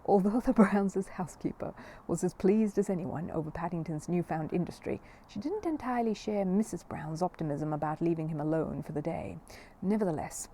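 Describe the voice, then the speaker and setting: A woman narrates a children's story expressively. Her voice sits slightly low and is dark and mellow. Some faint noise runs behind her in the recording. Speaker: audiobook reader.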